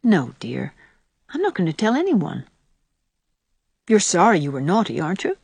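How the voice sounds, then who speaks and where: Woman narrator doing a gentle patient young woman, soft n tender, kinda admonishing, lilting pitch, storybook. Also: wildly swooping pitch, moderate pace, vocal fry, husky, no echo, heavily acted. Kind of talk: audiobook character voice.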